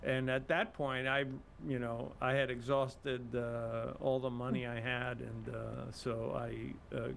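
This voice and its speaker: A man's voice: medium pitch, level tone, slow pace, gravelly, hardly any pauses, a little hiss or hum. An older man from Cuba, a guest in an interview, speaking modestly with many fillers and restarts. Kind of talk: casual interview talk.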